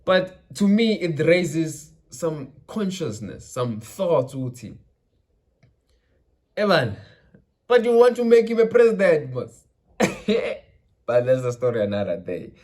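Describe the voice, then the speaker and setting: A man's voice: fairly high pitch, big pitch swings, slow, long pauses, a little mellow. A South African man from KwaZulu-Natal, a Zulu speaker, hosting his channel with loose, rambling political commentary that mixes in Zulu phrases. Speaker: channel host. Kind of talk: casual political commentary vlog.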